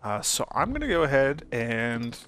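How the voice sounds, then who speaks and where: A man's voice: medium pitch, huge pitch swings, normal speed, fairly bright tone, a little hiss or hum. A man hosting a Let's Play, giving casual, easygoing commentary and explanations.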